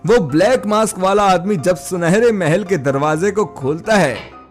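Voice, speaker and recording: High-pitched man narrating a story. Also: measured pace, faint music behind. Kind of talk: scripted narration of animated Hindi moral story.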